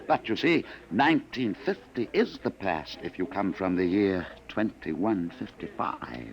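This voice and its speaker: An actor playing a sinister male searcher from the future in a 1950s radio drama, taunting his targets with smooth, insinuating salesman patter. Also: low-pitched, big pitch swings, unhurried, dull audio, full character voice. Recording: old radio broadcast with faint hiss.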